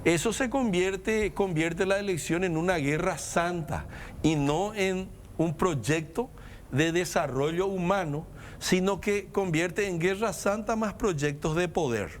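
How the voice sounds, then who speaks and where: A man with a non-native Spanish accent talks politics in a measured, lecturing way, stacking points into lists. His voice sits a little high. A faint noise runs under the recording. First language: not Spanish. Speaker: guest commentator. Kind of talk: political discussion.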